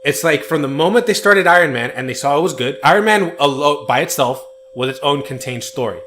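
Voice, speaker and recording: Young male podcast co-host, higher voice, rambling and animated, light hum underneath. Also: brisk. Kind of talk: casual chat.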